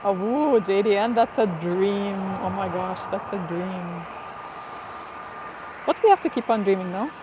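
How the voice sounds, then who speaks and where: A woman's voice: medium pitch, lively intonation, a little slow. A woman hosting a casual live stream outdoors near an airport, chatting with viewers in accented English and laughing often. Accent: non-native English, neither Portuguese nor Spanish. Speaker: livestream host. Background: traffic and ambient noise.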